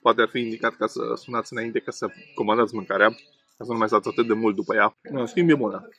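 A man in his thirties casually reviewing food outdoors, chatting with frequent fillers while he eats. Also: fairly low pitch. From Romania. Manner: relaxed, chatty, many fillers while eating. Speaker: food vlogger. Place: outdoor table beside a main road. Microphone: camera on table in front. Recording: faint road noise outdoors. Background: passing traffic and outdoor ambience.

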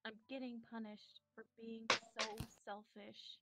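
Fragile, high-voiced sad anime girl woman acting. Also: level tone, a little slow, creaky, heavy background noise. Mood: sad, guilty. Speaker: amateur reader of a visual novel character. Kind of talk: dramatic visual novel reading.

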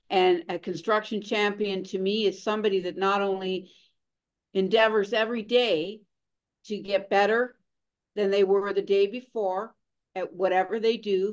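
A middle-aged woman, a consultant, answering interview questions in a measured, earnest way over a video call. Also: mid-range voice. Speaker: guest, consultant.

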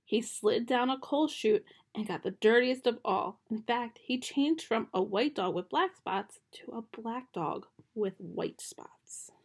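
A young woman reading a children's picture book aloud at home in a gentle storytime manner, recorded on a close webcam in a small bedroom. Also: fairly high pitch, a little slow, hardly any pauses, husky.

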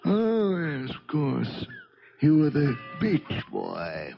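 An actor in a 1970s radio drama playing a polite, condescending gentleman executive, formal and faintly snobbish. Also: on the high side, wildly swooping pitch, extremely slow, creaky, soft background music, heavily acted. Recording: muffled 1970s radio recording.

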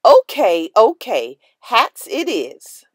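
A woman voicing a firm but loving hatmaker mother in a storybook, speaking in a measured way. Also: fairly high pitch, huge pitch swings, breathy edge, no echo, clean studio sound, heavily acted. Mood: softened, warm. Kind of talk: storybook character voice.

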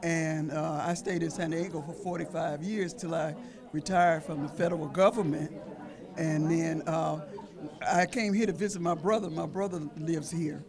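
A woman's voice: low voice, fairly even intonation, moderate pace, a crowd in the background. A woman in her seventies from Illinois, a veteran at a senior center, telling her story in a chatty way into a handheld mic. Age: 70s. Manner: chatty, with many fillers and restarts. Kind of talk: self-introduction. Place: senior center activity room. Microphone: handheld mic held by host.

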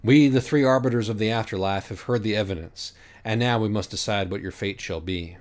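A man's voice: lowish voice, fairly quick, lightly acted. A man voicing a small, solemn animal judge of the afterlife in an audiobook, speaking formally.

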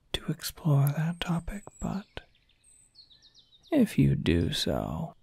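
A suave male incubus acted by a man speaks in a soft, very breathy whisper. He is sly and teasing, lingering slowly over his words. It is an intimate ASMR roleplay, close on the mic with a dry, dark sound. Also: on the high side, dry recording, full character voice. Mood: grave, cautioning. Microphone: close microphone.

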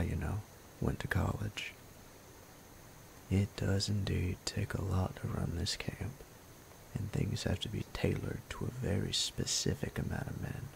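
A man voicing a sadistic male bandit captor in an ASMR roleplay, low and gravelly, often whispering in a menacing, playful way. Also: a little hiss or hum, exaggerated voice. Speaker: voice actor.

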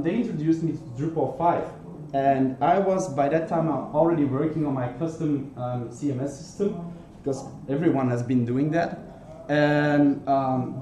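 A man's voice: fairly high pitch, mellow. A young man from Belgium with a Belgian accent, giving a conference talk in English, earnest and full of ums and restarts. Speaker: conference speaker. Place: conference hall. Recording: echoey hall with faint noise.

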